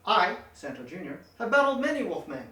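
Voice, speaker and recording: Someone playing an earnest deadpan masked wrestler hero. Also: mid-range voice, fairly quick. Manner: deadpan, overly literal and polite. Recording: roomy echo, distant mic.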